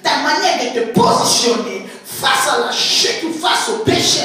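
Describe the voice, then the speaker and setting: High-voiced woman preaching in an echoey hall. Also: fairly bright tone. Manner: emphatic, repeats words, stretches key phrases. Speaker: prophetess preaching. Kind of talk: sermon. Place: church hall. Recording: echoey church hall, distant mic, faint music.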